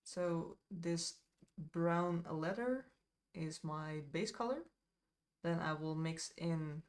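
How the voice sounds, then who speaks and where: A woman with a Dutch accent chatting casually to her live-stream viewers while she paints, rambling a little with fillers and asides. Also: low-pitched. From Netherlands.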